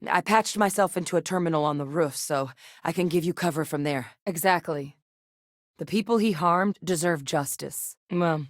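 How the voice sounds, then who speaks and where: A guarded, principled woman scientist in a sci-fi game. Her voice sits low, recorded very dry and close. She speaks in a measured, earnest manner with a wry edge. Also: dry recording. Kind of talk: video game character dialogue.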